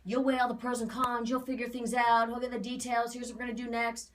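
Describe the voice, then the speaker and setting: An American woman explaining an aura reading to clients in a casual, emphatic, animated way, repeating phrases for emphasis. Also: fairly high pitch, quick pace, slight background noise. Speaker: aura reader.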